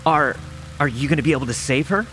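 A man playing a dazed, terrified young survivor in a horror audio drama, halting and pleading as he speaks. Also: a little high, lively intonation, pushed and bright. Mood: anxious, pleading. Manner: halting, repeating himself, pleading. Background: music and rain.

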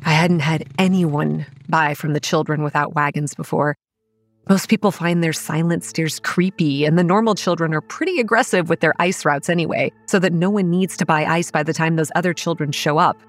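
A woman playing a pragmatic young campground manager, narrating a horror audio drama in a measured, matter-of-fact and wry way. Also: deep voice, lightly acted. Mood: tense narration. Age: young adult. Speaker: narrator and lead character. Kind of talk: first-person scripted horror narration and dialogue.

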